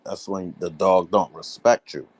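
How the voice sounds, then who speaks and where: A man's voice: sits low, steady tone, a little slow, darkish tone, slightly rough, no echo. A man cutting into a casual podcast chat with eager agreements and swearing.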